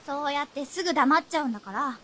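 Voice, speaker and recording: Exasperated, bossy young female sidekick, high-pitched, brisk radio drama delivery. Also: a bit bright. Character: strict, scolding young female travel companion.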